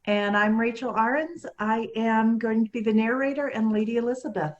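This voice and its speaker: A woman's voice: a little high, moderate pace, dull audio. A woman narrating, reading out the stage directions of a children's play.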